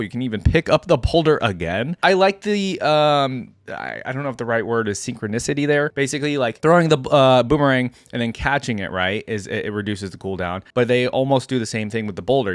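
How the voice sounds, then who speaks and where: A man with a mid-range voice hosts a casual video game reaction. He talks conversationally, thinking out loud and slipping asides into what he reads. The sound is dry, with music playing behind him. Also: mid-range voice, dry recording. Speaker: YouTube reaction host.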